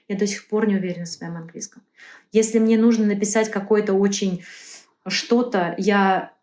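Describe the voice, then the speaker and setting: A woman speaking as an interview guest, earnest and halting, often correcting herself mid-sentence. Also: mid-range voice, darkish tone. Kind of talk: casual interview talk. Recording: roomy echo.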